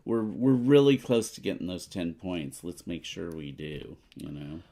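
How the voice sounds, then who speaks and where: A man's voice: low-pitched, measured pace, dark tone. A man co-hosting a board game playthrough, chatting casually as he plays. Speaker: board game player and co-host.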